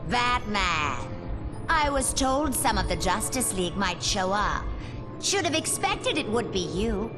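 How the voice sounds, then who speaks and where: A woman's voice: mid-range voice, very animated, measured pace, over music. An actress playing a gleefully cruel female supervillain in a video game, mocking, playful and swaggering. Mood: gloating, mocking. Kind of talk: video game villain acting.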